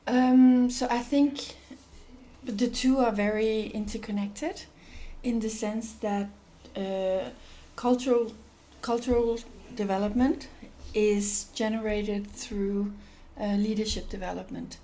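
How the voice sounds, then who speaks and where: A woman's voice: mid-range voice, fairly even intonation, slow pace, dark tone, rough recording. A woman from Denmark, an NGO executive director, answering interview questions thoughtfully with many fillers and restarts.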